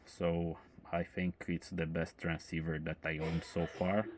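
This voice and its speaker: A man talking casually as an amateur radio operator, explaining his points in measured, hesitant phrases. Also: sits low, level tone, darkish tone, a little hiss or hum. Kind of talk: casual radio contact chat.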